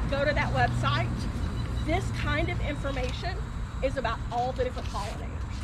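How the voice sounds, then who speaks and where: A woman with a big, carrying voice and a bright, high pitch teaches a workshop outside in a community garden. Her talk is chatty and upbeat, full of casual fillers. Heavy street traffic roars behind her the whole time. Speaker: master gardener leading a workshop. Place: outdoor community garden beside a busy road. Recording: loud traffic noise outdoors. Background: passing cars and street traffic.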